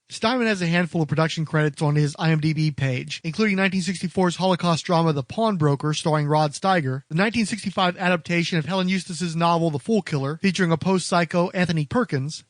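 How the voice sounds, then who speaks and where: A man's voice: fairly high pitch, dry recording, studio quality. A man hosting a podcast, reading scripted narration in a wordy, precise manner. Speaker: podcast host. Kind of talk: scripted documentary-style narration.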